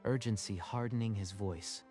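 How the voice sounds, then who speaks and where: A man's voice: on the low side, normal speed, warm tone. A man reading scripted, dramatic story narration for a manga dub. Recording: music behind the voice.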